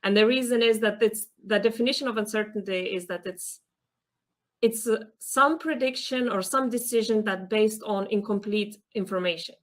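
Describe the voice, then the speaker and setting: A woman's voice: medium pitch, moderate pace. A young woman guest explains a research topic in an earnest, careful way, stopping now and then to find her words. Her voice sits in a middle range. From Lithuania. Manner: earnest, explanatory, some hesitations. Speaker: PhD student guest.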